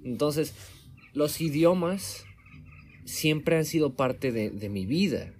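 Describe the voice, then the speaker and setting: A man's voice: a little high, lively intonation, slow pace, a little mellow, a little hiss or hum. A man from Mexico talking casually as the interviewer, correcting himself often as he speaks. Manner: conversational, with restarts and self-corrections. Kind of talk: casual conversation. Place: home room in Sweden.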